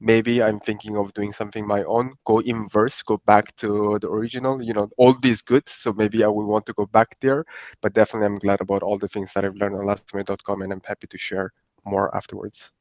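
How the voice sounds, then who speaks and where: Male podcast guest, from Italy, low voice, easygoing and rambling, dry muffled recording. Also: brisk, no room sound. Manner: relaxed, rambling with many fillers. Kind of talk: conversational podcast interview. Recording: dry, dull lo-fi sound.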